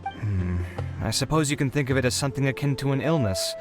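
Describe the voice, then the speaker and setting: A man plays a kindly young architect from a video game. He has a mid-pitched voice and speaks frankly and conversationally, with music clearly underneath. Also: animated, a little fast, close to an everyday voice. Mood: frank, encouraging.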